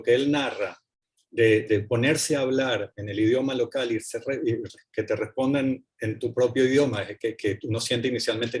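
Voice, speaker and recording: Husky-voiced man explaining on a call. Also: mid-range voice. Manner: fluent, explanatory, lively. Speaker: co-author speaking at a book presentation. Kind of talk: academic panel talk. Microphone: video call.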